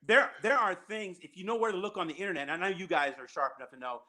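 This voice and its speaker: A man's voice: sits high, lively intonation, quick pace, crowd noise. A man hosting a call-in show, talking emphatically and repeating his key points. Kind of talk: casual call-in chat.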